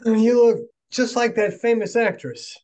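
A man's voice: extremely high pitch, fairly even intonation, unhurried, darkish tone. A middle-aged man playing a cheeky, teasing guy in a screenplay table reading over video call. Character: cheeky, tipsy man teasing his relatives.

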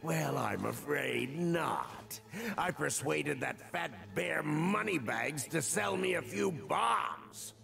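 An actor voicing a small, scheming male sorcerer villain in a video game, gloating and shouting. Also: fairly high pitch, animated, slow pace, vocal fry, heavily acted. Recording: game audio with music behind.

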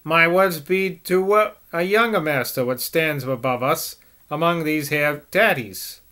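A shrill, scolding old servant woman rattles on in broad West Country speech, loud and defiant, as a man puts her on. Her voice rides higher and louder than the reader's own, full of stubborn rustic grumbling from a storybook. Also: measured pace. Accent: put-on West Country rustic dialect. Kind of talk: amateur audiobook reading.

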